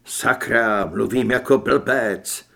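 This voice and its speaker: A big, blunt audiobook hero, voiced by a male narrator. He talks in a gruff, husky voice, plain and self-mocking. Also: mid-range voice, fairly bright tone, pitched below the performer's own voice. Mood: grumbling.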